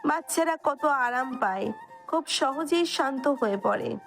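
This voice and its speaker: A woman's voice: high voice, expressive, a little slow, vocal fry. A woman reading scripted narration for a romantic story. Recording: music under the voice. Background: background music.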